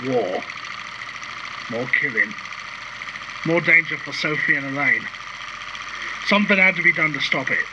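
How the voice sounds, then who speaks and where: An actor playing a gruff, world-weary British working man in a radio drama, with a brooding, slangy manner. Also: on the high side, animated, measured pace, long pauses, highs cut off. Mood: brooding, grim. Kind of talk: radio drama dialogue and narration. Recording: noisy radio-style ambience.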